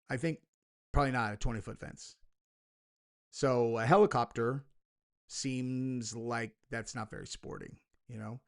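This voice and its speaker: A young man talks through a reaction video in his ordinary mid-range voice. He rambles, drops in dry sarcastic asides, and leans on filler words like "like" and "you know". The tone is loose and conversational. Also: medium pitch. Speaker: commentary video host. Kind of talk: casual reaction commentary.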